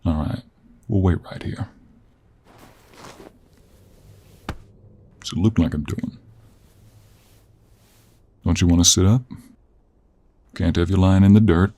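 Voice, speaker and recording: A man playing a gruff, teasing, weary 49-year-old cowboy monster hunter in an intimate audio roleplay, with a husky Western American drawl. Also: low-pitched, warm tone. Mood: tender, teasing. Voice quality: gravelly, husky. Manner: slow, drawling, with heavy sighs and pauses. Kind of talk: intimate audio roleplay acting, addressed to the listener.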